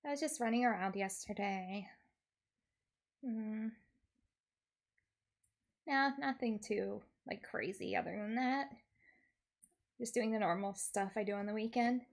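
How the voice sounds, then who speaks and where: A woman livestreamer chatting casually and telling stories in an animated, giggly, expressive way. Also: mid-range voice, unhurried, creaky, long pauses. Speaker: streamer, VTuber host.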